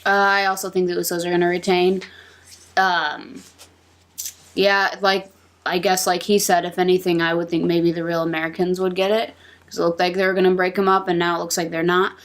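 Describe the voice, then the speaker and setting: A woman's voice: on the low side, little pitch movement, brisk. A woman speaking as a podcast panelist in casual discussion.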